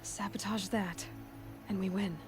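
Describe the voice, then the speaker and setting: An actress playing a practical, determined young huntress in a video game, in a naturalistic style. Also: female voice, medium pitch, steady tone, measured pace, music in the background, lightly acted. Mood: resolute.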